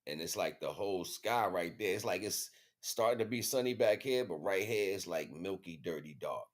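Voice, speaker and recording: Male, American, low voice; loose, slangy streamer talking off the cuff, circling back on phrases. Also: lively intonation, moderate pace. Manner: slangy, repetitive with frequent check-in phrases. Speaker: livestream host. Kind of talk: casual rambling monologue to viewers.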